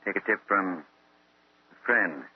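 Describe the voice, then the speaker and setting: An actor playing a brusque, authoritative police inspector in an old radio drama. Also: male voice, sits low, takes it slowly, husky, heavily acted. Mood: official, stern. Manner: brusque, official, direct. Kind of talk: 1940s radio acting. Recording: old tinny radio recording.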